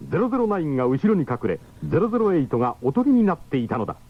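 A man's voice: fairly high pitch, big pitch swings, very fast. A man giving dramatic scripted narration for a radio drama. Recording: dull 1970s radio broadcast recording. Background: music.

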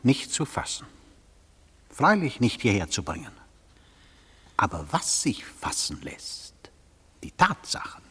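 A man's voice: fairly low pitch, huge pitch swings, slow pace, long pauses, pushed and bright, slight background noise, full character voice. An actor playing a weary, appalled male interrogator in a German radio play, putting terse, sceptical questions. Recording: long reverberant hall sound.

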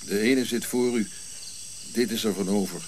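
An actor playing a sardonic, irritable old prince in a radio drama, speaking curtly with dry irony. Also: male voice, lowish voice, steady tone, normal speed, darkish tone, lightly acted. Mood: exasperated. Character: grumpy, sardonic old prince looking back on life. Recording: clear background hiss and insect-like night noise.

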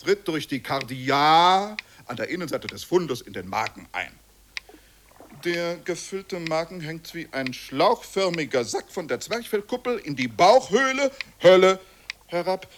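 A man's voice: fairly high pitch, big pitch swings, a little slow, a bit bright, a little hiss or hum. A man performing an eccentric anatomy lecturer in an avant-garde radio play, theatrical, stretching words and swooping erratically. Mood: pedantic, erratic. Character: eccentric anatomy lecturer slipping into manic wordplay. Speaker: performer in a spoken-word sound composition.

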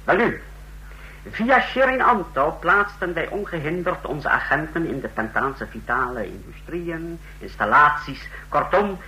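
Sharp, proud secret-service officer. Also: male voice, on the high side, lively intonation, a little slow, full character voice, low-quality recording. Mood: self-assured briefing. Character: proud, menacing male secret-service officer of a fascist state. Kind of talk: Dutch radio play acting. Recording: faint hum, old radio recording.

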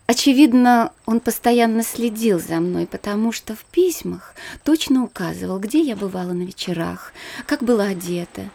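Reserved young noblewoman for a radio play, mellow, breathy and confiding. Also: a little high. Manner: soft, restrained, confiding. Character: young reserved married princess, gentle. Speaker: actress in audio play. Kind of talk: theatrical radio play acting.

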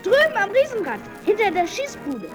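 Brash, teasing boy, radio play: very high and lively. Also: moderate pace, faint music behind, exaggerated voice. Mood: excited. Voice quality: boyish, high. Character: cheeky, bossy young boy tempting his friend. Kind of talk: children's radio play acting.

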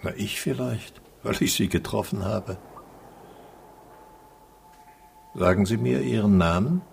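An actor playing a pensive, melancholy film director in a German radio drama, musing earnestly. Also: male voice, on the low side, moderate pace, vocal fry, darkish tone, slight background noise. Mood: yearning.

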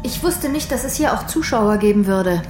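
A woman's voice: medium pitch, animated, moderate pace, darkish tone, faint music behind, natural voice. An actress playing a wary, educated young woman in a crime radio drama, terse and firm under threat. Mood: guarded, firm.

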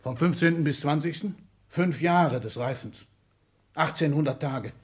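A man's voice: on the high side, measured pace, close to an everyday voice. An actor playing a domineering, sardonic, ageing aristocratic father in a 1960s German radio play, lecturing with theatrical scorn. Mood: scornful, lecturing.

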